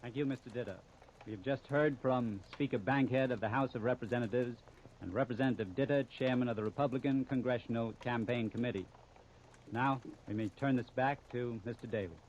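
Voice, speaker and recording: A man's voice: medium pitch, fairly quick, a little mellow, dull audio, slight background noise. A man interviewing politely and formally as a 1930s radio news reporter, on an old broadcast recording.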